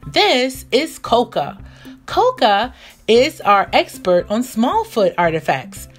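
A woman reading a picture book aloud for young children, expressive and deliberate in her storytelling. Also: a little high. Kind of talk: animated read-aloud narration for young children. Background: music.

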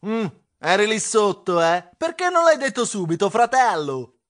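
A man voicing a curious, slow-witted, chummy animal companion in a children's storybook, with puzzled, drawn-out delivery. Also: high voice, sing-song, a bit bright, pitched above the performer's own voice. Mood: curious, eager.

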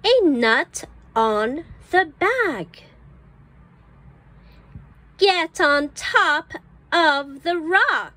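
A woman reading an early phonics book aloud for children as a teacher, enunciating each word deliberately and carefully. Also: sits high, lively intonation, pushed and bright. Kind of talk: slow read-aloud of an early reader for children.

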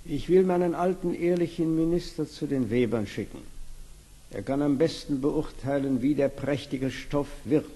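An actor playing a vain, commanding emperor in a fairy-tale radio play, pompous and imperious. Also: male voice, on the high side, moderate pace, mellow, lightly acted. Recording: echoey hall-like reverb.

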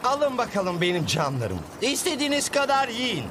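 A man voicing a gleefully taunting villainous racer in an animated series dub, mocking and theatrical. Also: very high voice, moderate pace, noisy background, full character voice. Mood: gloating.

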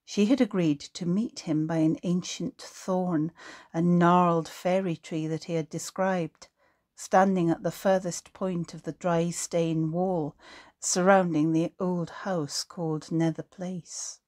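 Slow, low-pitched woman reading a story. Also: steady tone, darkish tone. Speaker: author reading book excerpt. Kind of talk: scripted literary narration.

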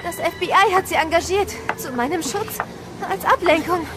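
An actress playing a tough, urgent witness on the run in a German audio drama, speaking tersely. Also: female voice, extremely high pitch, moderate pace, over music. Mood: tense, urgent. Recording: clearly noisy ambient effects behind voice.

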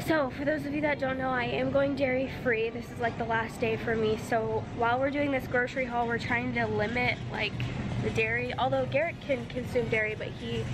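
A woman's voice: fairly high pitch, fairly even intonation, a little fast, background noise. A woman in her twenties with a nasal, congested voice from a cold, chatting casually to a handheld camera while shopping in a grocery store. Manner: chatty, talks to camera and baby. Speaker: vlogger. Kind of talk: casual vlog chat. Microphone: handheld camera at arm's length.

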